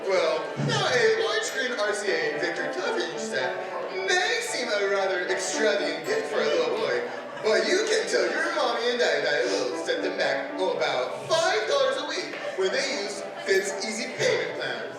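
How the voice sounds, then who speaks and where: A jolly chuckling Santa. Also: male voice, high-pitched, huge pitch swings, normal speed. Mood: jovial. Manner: hearty, chuckling salesman patter. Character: jolly department-store Santa Claus, adult man. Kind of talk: 1940s radio acting. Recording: echoey stage from far off with music.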